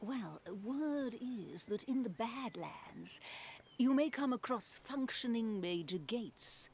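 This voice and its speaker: A fairly high woman's voice at a very slow pace, as a practical captain in a fantasy game. She is composed and matter-of-fact, over clear ambient noise. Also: expressive, a little mellow. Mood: instructive. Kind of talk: video game cutscene acting.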